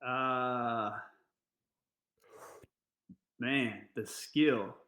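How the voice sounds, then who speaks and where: Podcast guest, male, middle-aged, mid-pitched, reflective, restarts often, echoey room sound. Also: steady tone, bright, forceful tone. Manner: halting, many restarts, earnest and reflective. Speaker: fire service guest. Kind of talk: casual interview chat.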